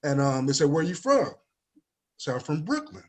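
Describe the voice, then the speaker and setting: A man's voice: on the high side, fairly quick. A man in his forties from East Saint Louis, a life insurance agent and talk-show guest, telling stories with acted-out dialogue. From East Saint Louis, Illinois, USA. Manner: animated storyteller, acts out quoted dialogue, often adds "you know what I mean". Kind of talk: casual talk-show conversation.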